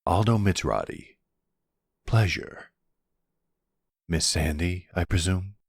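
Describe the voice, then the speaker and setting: A man playing a charming, self-assured mafia boss boyfriend in an ASMR roleplay, speaking in a suave, measured, formal way. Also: low-pitched, gravelly, slightly rough, dry recording, clean studio sound, heavily acted. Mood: suave, composed. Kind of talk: ASMR roleplay audio drama.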